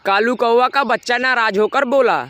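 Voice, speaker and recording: A man narrating a scripted animated children's moral story. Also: very high-pitched, normal speed, clean tone.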